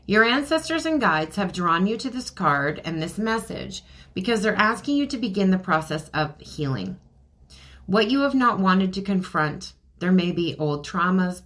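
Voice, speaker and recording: Low-voiced, slightly hoarse woman host. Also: a bit bright. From Canada. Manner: chatty, laughs often, many ums. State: losing her voice, a bit hoarse. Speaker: podcast host and card reader. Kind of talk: casual oracle card reading podcast.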